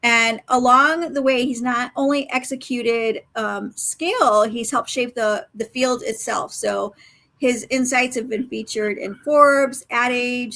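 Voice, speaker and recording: A woman's voice: a little high, a bit bright. A woman hosting an interview, introducing her guest in a chatty way with many fillers and restarts. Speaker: host.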